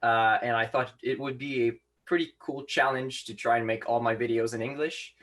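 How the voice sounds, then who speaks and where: A man's voice: medium pitch, fairly even intonation, normal speed, fairly bright tone. A seventeen-year-old young man from Quebec, a native French speaker with a slight Quebec French accent, answering interview questions hesitantly with many fillers. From Quebec, Canada. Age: teens. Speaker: guest maker.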